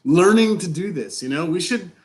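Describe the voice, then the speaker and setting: A man playing a frustrated, incredulous novice farmer in a live stage play reading, with halting, colloquial delivery. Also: high voice, expressive. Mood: earnest, frustrated.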